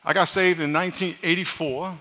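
New pastor preaching loud and bright, jokey, echo of a big church hall. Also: male voice, a little high, fairly even intonation, a little fast. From New York. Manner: loud, joking, calls for congregation responses. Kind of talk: sermon. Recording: live sermon in a reverberant hall.

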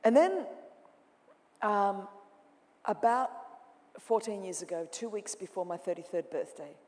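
A woman preaching to a congregation, her voice ringing through a large auditorium with a long reverb. She moves from loud, lively imitation to quiet, intense confession. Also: mid-range voice, a little slow. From Australia. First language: Greek. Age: 40s. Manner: dramatic, swings from loud mimicking to hushed intensity. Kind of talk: sermon.

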